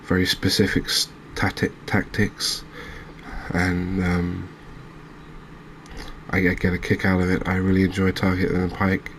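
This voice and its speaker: A man with a low-pitched voice narrates his gameplay in a soft ASMR style. His delivery stays very even, musing along in an unhurried, relaxed way with pauses. Faint ambient noise runs behind him. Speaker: gaming video host. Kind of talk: soft-spoken ASMR game commentary.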